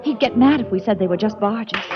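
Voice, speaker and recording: A woman's voice: medium pitch, a little fast, loud music behind the voice, natural voice. A woman playing an earnest young nightclub singer. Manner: earnest, pleading. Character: young female nightclub singer, pleading and loyal. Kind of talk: 1940s radio drama acting. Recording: dull old radio recording.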